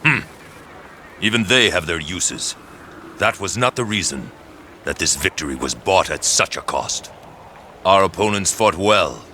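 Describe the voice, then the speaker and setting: Forceful, commanding visionary warlord. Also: male voice, low-pitched, lively intonation, a little slow, pauses often, slight background noise, full character voice. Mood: probing. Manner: assured, grand, probing. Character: commanding, visionary male warlord of ancient China. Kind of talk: dramatic video game cutscene acting.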